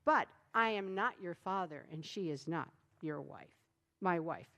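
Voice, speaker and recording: A woman's voice: on the low side, animated, unhurried, vocal fry, a bit bright, muffled recording, exaggerated voice. A woman voicing a stubborn, muddled village man who earnestly insists. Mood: insistent.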